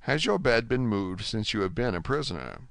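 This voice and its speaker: A man's voice: lowish voice, sing-song, moderate pace, creaky, a bit bright, dry recording, clean studio sound, exaggerated voice. A man voicing an unseen older male prisoner, guarded and resigned, questioning tersely in an audiobook style. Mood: probing. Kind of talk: audiobook character acting.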